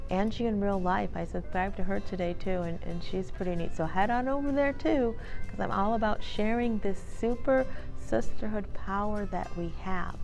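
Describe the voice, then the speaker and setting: A woman's voice: mid-range voice, creaky, darkish tone. A woman podcast host with an earnest, encouraging manner, speaking expressively as if reading aloud or motivating listeners.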